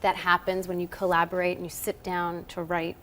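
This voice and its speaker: A woman's voice: on the low side, monotone, a little fast, fairly bright tone, slight background noise. A woman co-hosting a TV interview in a conversational way. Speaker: TV co-host.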